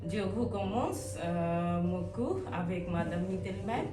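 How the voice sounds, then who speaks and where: A woman talks slowly and haltingly, with many pauses and fillers. She sounds a little far from the mic, in an echoey room, and music plays behind her. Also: fairly low pitch. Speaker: language student. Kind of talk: telling her learning story in French.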